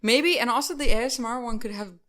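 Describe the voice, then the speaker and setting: A woman co-hosting a casual podcast, chatting animatedly with lots of "like" fillers and sudden loud bursts. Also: on the high side, fast. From Rhode Island. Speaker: podcast co-host. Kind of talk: casual chat.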